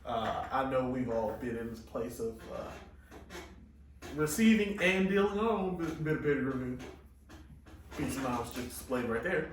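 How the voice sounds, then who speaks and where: A man's voice: mid-range voice, lively intonation, moderate pace, recorded from a distance, a little hiss or hum. A man casually telling a profane work story as a podcast co-host. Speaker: podcast co-host reading posts. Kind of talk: casual chat. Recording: echoey room, off mic.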